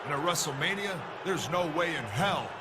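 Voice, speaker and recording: A man's voice: on the high side, expressive, moderate pace, natural voice. Cocky champion wrestler played by a man, roaring crowd. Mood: defiant menace. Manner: arrogant, threatening. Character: cocky, menacing male champion wrestler taunting a rival. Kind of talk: wrestling promo. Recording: noisy arena with loud crowd.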